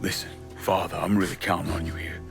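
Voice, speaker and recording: An actor with an American accent playing a blunt, determined vigilante in a cinematic video game. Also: male voice, low-pitched, flat delivery, fast, over music, natural voice. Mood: earnest. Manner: blunt, commanding.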